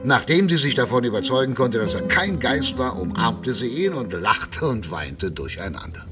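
A man's voice: medium pitch, sing-song, normal speed. A man narrating a radio drama as a scripted, dramatic storyteller. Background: prominent music.

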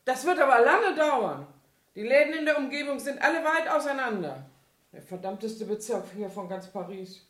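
A cheeky, falsely naive cleaning woman in a radio drama. Her voice is high and very animated, with a chatty, folksy, grumbling manner. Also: moderate pace. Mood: reluctant, dry. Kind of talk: German 1970s radio play acting.

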